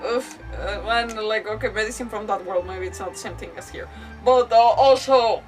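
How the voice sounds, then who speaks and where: A woman live streaming a game with giggly, excitable, chatty casual reactions. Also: mid-range voice, moderate pace, distant mic, faint music behind.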